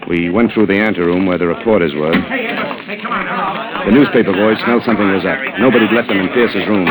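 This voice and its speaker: A brash newspaper reporter played by a man narrates in a low, streetwise voice. He is wry and clipped in the old hardboiled radio manner. Music plays behind the vintage recording. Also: lightly acted, low-quality recording. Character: brash wisecracking male newspaper reporter narrating his crime story. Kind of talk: hardboiled 1940s radio drama acting.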